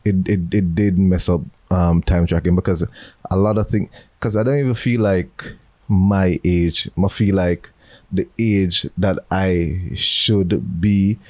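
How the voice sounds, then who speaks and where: Deep-voiced man, dry close sound. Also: fairly even intonation, slow pace, a little mellow, no echo. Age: 30s. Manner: easygoing, musing, trails off and restarts. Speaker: podcast co-host. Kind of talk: casual chat.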